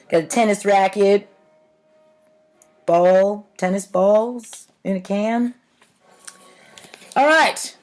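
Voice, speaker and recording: A woman reading stories aloud to viewers, theatrical in the reading with wry, casual, offhand commentary. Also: medium pitch. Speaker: host reading aloud childhood writing.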